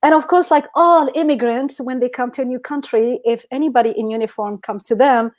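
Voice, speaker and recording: A middle-aged woman, speaking English as a later language and telling stories in an expressive, informal way as a podcast co-host. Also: high voice, fairly quick. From Algeria. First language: not English. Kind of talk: casual conversational storytelling.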